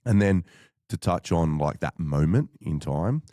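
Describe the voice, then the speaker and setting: Very deep-voiced, mellow man. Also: animated, dry recording, clean studio sound. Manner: measured, thoughtful, with frequent fillers. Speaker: podcast guest. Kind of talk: reflective conversational storytelling.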